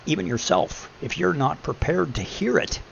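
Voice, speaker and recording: A deep-voiced middle-aged man giving blunt, preachy advice in a casual chat, swearing freely. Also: lively intonation, moderate pace, vocal fry. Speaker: podcast host. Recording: muffled lo-fi with faint hum.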